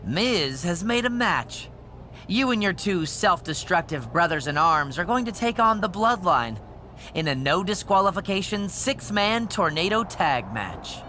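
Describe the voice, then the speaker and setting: Bright-voiced, needling backstage wrestling official. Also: male voice, high voice, big pitch swings, unhurried, slight background noise, full character voice. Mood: smug.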